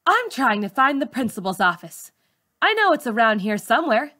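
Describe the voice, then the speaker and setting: A woman's voice: a little high, sing-song, normal speed, pushed and bright, full character voice. A woman voicing a poised, gracious female teacher in a storybook, polite and composed in manner.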